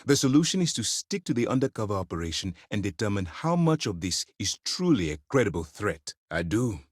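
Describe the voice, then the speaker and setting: An actor playing a stern, principled middle-aged military commander in a space video game, speaking in a measured, formal, authoritative way. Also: male voice, lowish voice, very animated, husky, no echo, clean studio sound. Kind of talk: dramatic video game dialogue.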